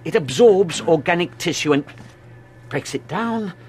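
An actor playing a feverish research scientist half-crazed with dread, halting and intense, in a radio drama. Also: male voice, fairly high pitch, animated. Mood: hushed fascination.

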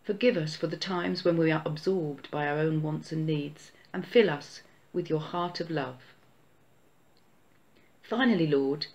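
Gravelly, prayer leader; slow, measured solemn delivery of a church prayer. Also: medium pitch, a little mellow. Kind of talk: read-aloud Easter prayer.

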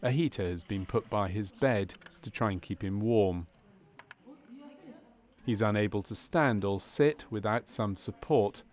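A man reading scripted narration for a medical training film in a measured, formal manner. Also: lowish voice, a little mellow.

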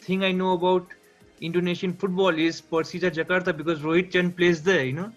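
A young man with a Nepali accent hosts a casual interview over a video call. His voice is high for a man. The sound is dull and lo-fi, with music faintly behind it. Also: level tone, fairly quick. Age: young adult. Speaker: interviewer, show host. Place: indoor room at home, on video call.

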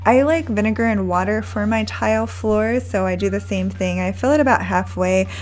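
A woman's voice: medium pitch, normal speed, a little mellow. A woman in her thirties narrating her cleaning routine in a friendly, chatty voice-over, recommending products. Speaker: home cleaning vlogger.

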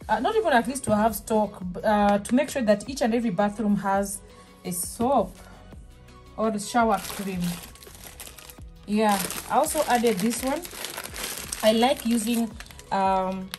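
A woman's voice: medium pitch, unhurried, pauses often. A woman chatting casually while she unpacks groceries in her small home kitchen. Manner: chatty, relaxed, restarts and fillers, soft laughs. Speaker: vlogger. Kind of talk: casual shop-with-me haul chat. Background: background music.

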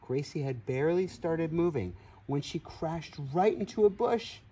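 A man in his forties reading a children's story aloud outdoors, expressive, with playful asides. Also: fairly high pitch, a little slow, breathy edge. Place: outdoors on grass in a farm field.

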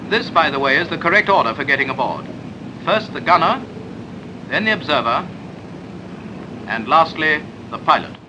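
A man's voice: on the high side, sing-song, moderate pace, long pauses. A British man reading a scripted 1940s instructional film narration in a clipped, explanatory manner. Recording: noisy 1940s film soundtrack.

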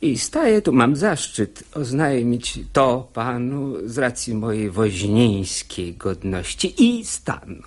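An actor playing an unctuous, pedantic old court bailiff in a radio play, speaking verse with oily, officious politeness. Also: male voice, medium pitch, sing-song, takes it slowly, few pauses. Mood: oily courtesy. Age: older. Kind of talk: theatrical radio drama acting in verse.

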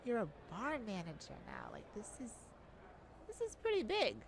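A woman's voice: fairly high pitch, wildly swooping pitch, normal speed, vocal fry, slight background noise, exaggerated voice, poor audio. A woman voicing an affectionate, modest young male bar singer in an intimate ASMR roleplay, sweet-talking and hesitant. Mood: bashful, excited.

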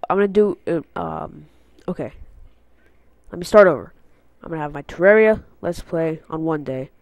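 A man's voice: a little high, moderate pace, vocal fry, no room sound. Congested teen boy rambling at his computer. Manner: rambling, filler-heavy, goes on tangents. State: congested from a cold. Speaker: gaming channel host. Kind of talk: casual channel update. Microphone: unfamiliar headset mic.

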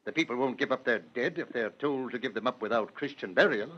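An actor playing a worried medieval official in a 1940s radio dramatisation. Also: male voice, medium pitch, brisk, natural voice. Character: worried medieval official fretting over plague. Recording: dull old radio broadcast.

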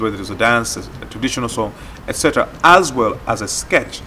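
A man's voice: mid-range voice, a bit bright. Man explaining in interview, faint background noise. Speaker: project organiser. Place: outdoors in a garden courtyard.

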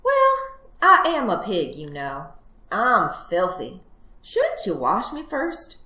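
A woman's voice: mid-range voice, huge pitch swings, takes it slowly, sharp tone, exaggerated voice. A woman voicing a small, wily male piglet in a storybook, squealing, coaxing and falsely meek. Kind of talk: storybook character voice. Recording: roomy, echoing sound.

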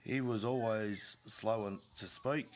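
A middle-aged man, reciting a comic bush ballad as an expressive storyteller. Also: lowish voice, slow. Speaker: poetry reader.

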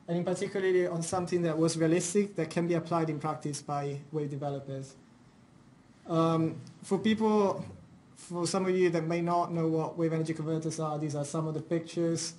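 A man's voice: on the high side, a little mellow, slight background noise. A young man from Italy with an Italian accent, presenting his research at a university seminar in an informal way, with many fillers and restarts. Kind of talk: academic seminar talk.

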